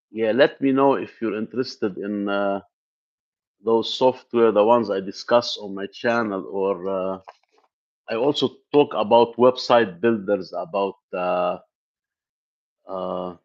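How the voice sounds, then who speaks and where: Brief: male tech host from Lebanon, native Arabic speaker, lowish voice, loose live-stream chat full of "uh" and restarts, muffled lo-fi audio. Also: slow, pauses often. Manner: rambling, many fillers and restarts. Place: home in the US Midwest.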